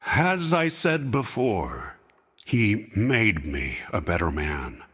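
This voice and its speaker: A man playing an aging, grief-bent king who slowly recalls a lost friend in a fan audio drama. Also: fairly low pitch, very animated, fairly bright tone, husky, long reverb tail, full character voice. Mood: grieving. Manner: slow, heavy reminiscing. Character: grieving aging king, stern yet sorrowful.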